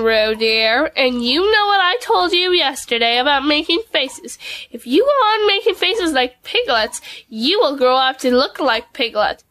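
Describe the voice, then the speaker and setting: Motherly kangaroo voiced by a woman for storybook audio play: high, lively, fussing, playfully stern. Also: dry recording, full character voice. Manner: fussing, singsong, mock-innocent. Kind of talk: children's storybook audio drama.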